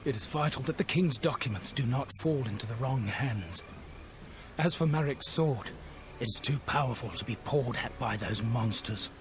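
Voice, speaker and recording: A man's voice: mid-range voice, normal speed, background noise, lightly acted. A man playing a doomed wounded soldier. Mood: solemn. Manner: weary, regretful, earnest. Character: wounded male soldier, the king's former guard, resigned to death. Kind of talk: video game cutscene acting.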